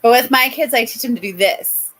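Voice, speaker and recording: A woman with a Midwestern American accent who teaches English, chatting casually on a livestream, giggly and animated. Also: a little high. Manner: giggly, animated, quick to laugh.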